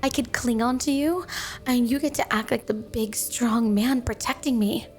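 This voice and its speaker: Woman voicing a yandere snow woman, highish pitch, coy, affectionate, clingy, ASMR roleplay, faint music underneath. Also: lightly acted. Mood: flirty, coy. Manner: sugary, coy, affectionate, unhurried. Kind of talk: ASMR romantic roleplay, addressed to the listener.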